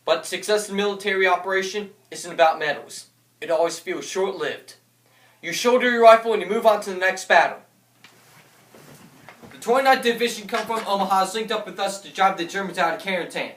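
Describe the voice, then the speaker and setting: A man playing a declamatory American paratrooper officer, high-voiced, on a distant stage in a war play in an echoing hall. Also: brisk, fairly bright tone. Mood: solemn, reflective. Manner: declamatory, bellows battle orders. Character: dutiful, battle-tested American paratrooper officer recalling combat. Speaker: actor in a war drama. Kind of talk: theatrical monologue and dialogue. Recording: echoey room from far off, noisy.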